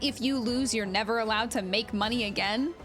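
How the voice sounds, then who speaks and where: A woman's voice: on the high side, fairly quick, a bit bright, soft background music. A woman chatting casually and jokingly with viewers as she streams a game. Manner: informal, joking. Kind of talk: casual livestream chat with viewers.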